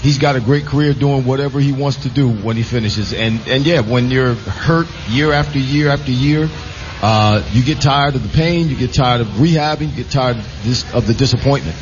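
A man's voice: medium pitch, normal speed. A man on a sports panel chatting casually, with emphatic, repetitive phrasing. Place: live radio broadcast at a casino. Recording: dull live pickup with clear background noise.

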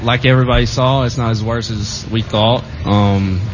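A young man, a football player guest, giving halting answers full of fillers in a casual interview. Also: medium pitch, fairly even intonation. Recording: dull lo-fi with clear background noise.

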